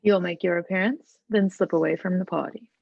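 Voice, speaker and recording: A woman's voice: on the low side, fairly even intonation, fairly quick, creaky. A woman acting a domineering, menacing older mother who scolds and commands in a script table read. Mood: stern, scolding. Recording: dull, roomy home recording.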